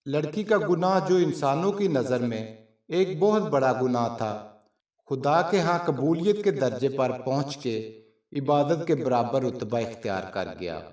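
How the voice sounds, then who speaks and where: Slow-paced male storyteller in a roomy echo. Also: medium pitch, expressive, darkish tone. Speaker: channel narrator. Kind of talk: scripted story narration. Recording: roomy echo, distant from mic.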